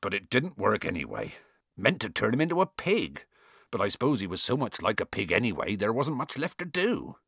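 A man voicing a big, gruff, warm-hearted giant gamekeeper with rustic colloquial speech, in an audiobook. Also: lowish voice, sing-song, moderate pace, dry recording, clean studio sound, voice pushed down, heavily acted. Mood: sheepish, confiding. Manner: rustic colloquial speech, hesitant mumbles, growling and thundering. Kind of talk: audiobook character acting.

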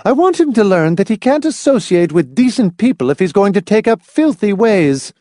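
Man acting a high, prim, scolding mother. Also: very animated, normal speed, warm tone, dry recording, clean studio sound. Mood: tight anger. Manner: reproving, tight, indignant. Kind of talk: audiobook character voice.

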